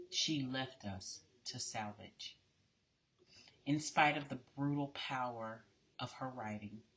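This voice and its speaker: An adult reads a biographical piece aloud. The voice sits at a medium pitch, and the delivery is deliberate and measured, like someone carefully following a printed text. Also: sharp tone, slight background noise, rough recording.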